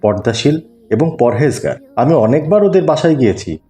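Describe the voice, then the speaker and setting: Man acting mellow, courteous family friend in a cartoon. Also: mid-range voice, big pitch swings, moderate pace, natural voice. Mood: earnest, reassuring. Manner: courteous, persuasive. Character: earnest middle-aged male family friend proposing a match. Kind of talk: cartoon dialogue.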